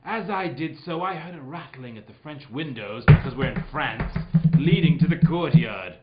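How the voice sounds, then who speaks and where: A man's voice: a little high, expressive, normal speed, lo-fi sound, loud music behind the voice, close to an everyday voice. Man playing a swooping, theatrical radio detective. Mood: mock suspense. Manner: playful, breaking off into asides and laughter. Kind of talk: hammy old-time radio mystery read, with joking ad-libs.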